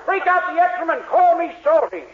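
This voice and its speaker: Casting: comic old salt, very high voice, barking orders, tinny vintage radio sound. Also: male voice, big pitch swings, fairly quick. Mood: blustering. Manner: barking nautical commands, folksy sailor talk. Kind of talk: 1940s radio comedy acting. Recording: tinny, noisy 1940s radio recording.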